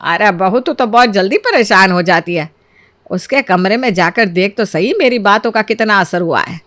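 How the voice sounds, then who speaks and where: A woman's voice: mid-range voice, normal speed, a bit bright, dry recording, natural voice. A woman voicing an older, scheming mother-in-law in a cartoon moral story, lecturing and self-assured. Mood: scheming, reassuring.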